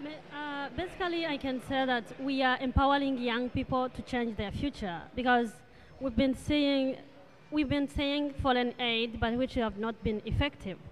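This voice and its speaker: A woman, a guest on a talk show, answering earnestly in a halting way with pauses. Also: sits high, steady tone, rough recording. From Rwanda. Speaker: guest, charity president. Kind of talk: interview answers.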